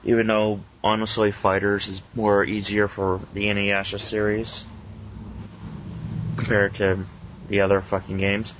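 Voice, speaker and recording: A man co-hosting a casual podcast with teasing, profane banter and halting, informal review talk. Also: lowish voice, completely flat delivery, a bit bright, a little hiss or hum. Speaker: podcast host. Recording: muffled lo-fi internet call recording.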